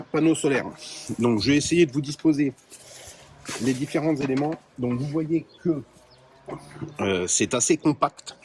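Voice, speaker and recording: Guy demoing his radio setup, mid pitch, chill and chatty, bit of faint hiss behind. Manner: relaxed, many fillers, addresses viewers directly. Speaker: radio hobbyist presenting his gear. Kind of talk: casual hands-on gear demo.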